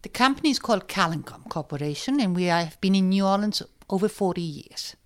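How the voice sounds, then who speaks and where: A woman's voice: mid-range voice, expressive, fairly quick. An older woman, explaining her family business as an interview guest. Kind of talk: conversational explanation.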